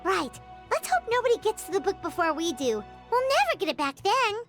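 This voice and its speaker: An actress voicing a small, childlike fairy companion in a video game, perky, inquisitive and bossy. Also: female voice, extremely high pitch, huge pitch swings, fairly quick, a bit bright, soft background music, full character voice. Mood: doubtful. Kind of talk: video game character acting.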